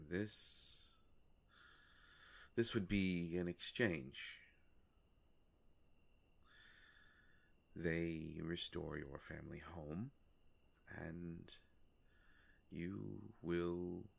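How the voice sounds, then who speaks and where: Casting: ancient gentleman vampire male actor, very low and creaky, courtly, hesitant, gentle, for intimate roleplay audio drama. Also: exaggerated voice. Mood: earnest bargaining. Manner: halting, formal, soft-spoken, courtly.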